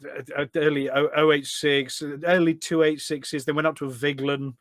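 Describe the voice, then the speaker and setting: A man's voice: on the high side, level tone, normal speed, dry recording. A middle-aged man chatting casually as a podcast guest, enthusiastic and full of anecdotes. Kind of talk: casual chat. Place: indoors at a desk at home.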